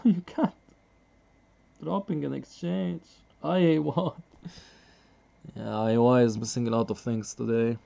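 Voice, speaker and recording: A man's voice: medium pitch, gravelly, dark tone, lo-fi sound. A man streaming live chess commentary in a casual way, chuckling often and answering his chat.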